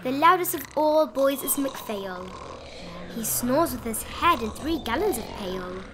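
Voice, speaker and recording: Woman, slightly high pitch, children's read-aloud, sing-song emphasis, faint noise behind. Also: animated, a little slow. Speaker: storybook narrator. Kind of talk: expressive read-aloud of a rhyming children's bedtime picture book.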